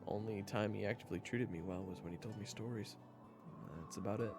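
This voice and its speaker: A man's voice: lowish voice, brisk, over music, close to an everyday voice. A man playing an earnest, candid human traveler in a fantasy audio roleplay, speaking casually and expressively. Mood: candid, rueful. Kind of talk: audio roleplay acting with first-person narration.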